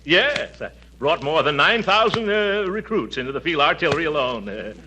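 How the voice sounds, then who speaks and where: A man's voice: fairly high pitch, very animated, moderate pace, slight background noise. An actor playing a pompous, blustering middle-aged uncle and former army major in a 1940s radio comedy, stammering and hedging as he goes. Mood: flustered, boastful.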